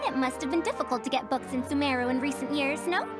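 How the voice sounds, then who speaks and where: A woman's voice: high-pitched, fast talker, loud music behind the voice, close to an everyday voice. A woman voicing a confident, headstrong female scholar in a video game, with formal, old-fashioned phrasing. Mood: encouraging. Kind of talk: voiced game character dialogue.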